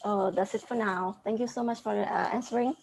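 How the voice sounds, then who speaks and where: A woman moderating a webinar Q&A, polite and soft-spoken, thanking speakers. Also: mid-range voice, fairly even intonation, a little fast, gravelly, a little hiss or hum, poor audio. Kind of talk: moderating questions.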